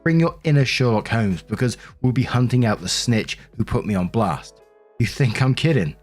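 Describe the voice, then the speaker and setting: A man's voice: medium pitch, expressive, moderate pace, a little mellow, soft background music, natural voice. A man reading out the posts of an indignant, bossy bride in a self-righteous, emphatic manner. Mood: threatening. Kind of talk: dramatic reading of social media posts.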